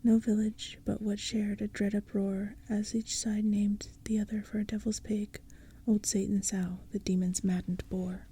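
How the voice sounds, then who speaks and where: A woman narrating a storybook in a soft, hushed whisper. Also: medium pitch, level tone, unhurried, few pauses, slight background noise.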